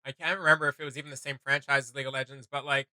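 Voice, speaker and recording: A man hosting a video game reaction show, chatting casually in a rambling, excitable, slangy way. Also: mid-range voice, racing, dry recording.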